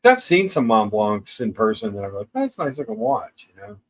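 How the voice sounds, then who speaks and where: A man's voice: lowish voice, wildly swooping pitch, brisk. A middle-aged man co-hosting a casual chat, reading listener questions aloud and musing with some hesitant restarts. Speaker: co-host.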